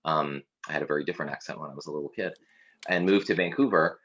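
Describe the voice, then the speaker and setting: Canadian guy, really low voice, halting and thoughtful, lots of ums, muffled lo-fi call audio from his office. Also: fairly bright tone. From Scotland. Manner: halting, thoughtful, many fillers and restarts. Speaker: academic co-host. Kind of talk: reflective conversational chat. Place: campus office.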